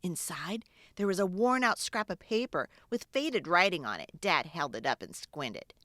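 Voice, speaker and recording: A woman reading a children's story aloud in an expressive, dramatic storytelling manner. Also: on the low side, moderate pace, no echo, clean studio sound. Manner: expressive, dramatic, with hushed asides. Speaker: children's book reader and channel host. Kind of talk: animated read-aloud storytelling for kids.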